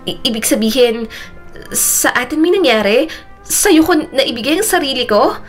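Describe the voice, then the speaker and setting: A woman's voice: on the high side, brisk, faint music behind, lightly acted. A woman voicing an emotional young woman in an audio story, sometimes stammering when upset. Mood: shocked. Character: distressed young woman, giggly then tearful and apologetic.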